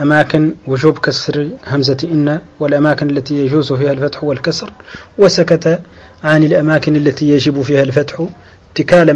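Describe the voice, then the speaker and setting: A man teaching Arabic grammar, explaining slowly with drawn-out pauses and restarts, and reciting quoted verses. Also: a little high. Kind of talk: lecture explaining a grammar text.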